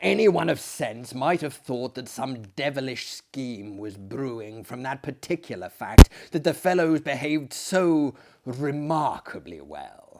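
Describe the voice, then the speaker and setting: A man voicing a sly, boastful older gentleman in a dramatic literary story, with a theatrical, relishing, deliberate delivery. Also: mid-range voice, sing-song, a little hiss or hum. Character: sly, boastful older Frenchman running an asylum.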